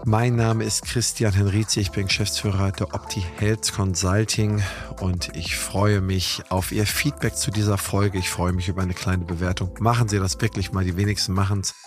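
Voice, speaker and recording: A hoarse man from Holstein hosting a podcast interview, talking with enthusiasm and heavy praise. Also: low voice, little pitch movement, moderate pace, music in the background. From Holstein, Germany. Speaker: podcast host. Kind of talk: podcast interview with scripted intro.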